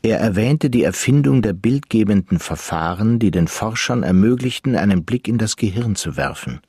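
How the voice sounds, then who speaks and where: A male narrator with a husky, somewhat low voice who tells the story at a measured pace. He is recorded close and dry, with no room sound at all. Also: expressive, a little mellow, no room sound. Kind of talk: scripted narration.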